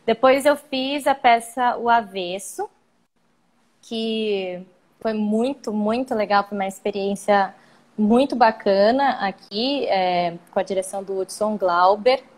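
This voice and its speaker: A woman's voice: medium pitch, slow pace. A young Brazilian woman with a Brazilian Portuguese accent, chatting on a video call as an interview guest, meandering and restarting her sentences. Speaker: guest, actress and singer. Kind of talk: casual live-stream interview.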